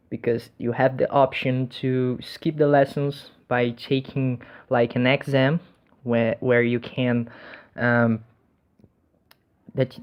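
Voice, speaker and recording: A young man talks slowly and loosely in English, stumbling over restarts and doubled words. His voice sits at a middling pitch, and the recording sounds dull and lo-fi. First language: Portuguese. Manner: hesitant, many restarts and repeated words. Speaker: language learner sharing tips. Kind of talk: casual talk to camera.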